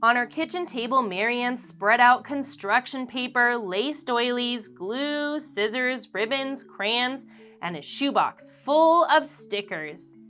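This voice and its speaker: A woman reads a children's picture book aloud with animated storytelling. Her bright voice moves slowly and deliberately, rising and falling expressively. Gentle marimba music plays faintly underneath. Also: a little high.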